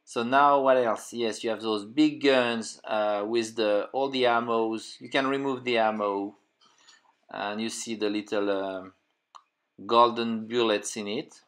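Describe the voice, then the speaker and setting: A man's voice: on the low side, fairly even intonation, unhurried, fairly bright tone. A middle-aged man with a French accent, French his first language, reviewing a toy in casual English with many fillers and restarts while he hunts for words. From France. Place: indoor room full of figures and display cases.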